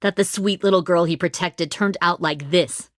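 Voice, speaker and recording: A woman's voice: lowish voice, moderate pace, no room sound, clean studio sound, close to an everyday voice. A woman playing a cold, menacing middle-aged commander of armed survivors in a dramatic video game.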